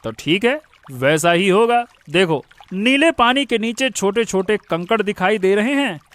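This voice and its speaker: Man acting a high-pitched romantic young man. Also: animated, measured pace, fairly bright tone. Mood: affectionate. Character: romantic, attentive young husband on holiday. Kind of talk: animated moral story dramatization.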